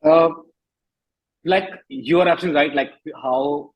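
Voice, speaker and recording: Husky Indian-accented man in candid conversation. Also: a little high, big pitch swings, rough recording. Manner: emphatic, halting, repeats phrases. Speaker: musician guest.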